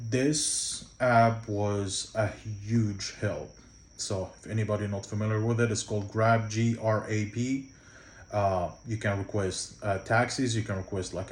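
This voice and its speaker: A man's voice: on the low side, a little mellow, echoey room, slight background noise. Egyptian-accented man giving loose travel tips. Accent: Egyptian Arabic. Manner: loose, rambling, with many fillers and restarts. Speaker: travel vlogger. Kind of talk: casual travel tips to camera.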